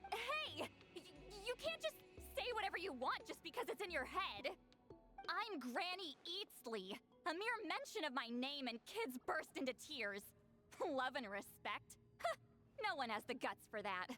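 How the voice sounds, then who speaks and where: Huffy, prickly young shaman girl, bright and springy in pitch, for a game. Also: very animated, unhurried, soft background music, full character voice. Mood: flustered. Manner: brusque and huffy. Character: proud, prickly young female shaman feared as a granny.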